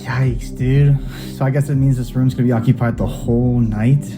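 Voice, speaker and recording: An actress playing a boisterous young student partier with a loose, slangy way of talking in an audio drama. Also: female voice, a bass voice, fairly even intonation, moderate pace. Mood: rowdy. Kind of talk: naturalistic audio drama acting. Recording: loud music behind dialogue.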